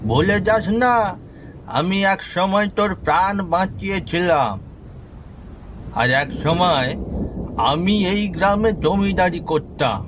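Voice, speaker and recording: A man's voice: sits high, takes it slowly, long pauses. A man playing a proud, touchy old village elder in a horror cartoon, given to grumbling and scolding. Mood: irritated, scolding. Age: older. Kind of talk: Bengali horror cartoon voice acting. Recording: rain and thunder noise behind.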